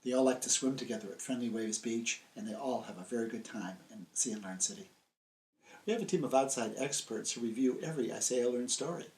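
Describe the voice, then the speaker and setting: A man's voice: mid-range voice, quick pace, darkish tone, poor audio. An older American man speaks in a mid-range voice as a children's author addressing young readers. His phrasing is simple and emphatic, with repetition for small listeners. Some noise sits behind him in an indoor room. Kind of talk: friendly scripted presentation and storytelling for young children.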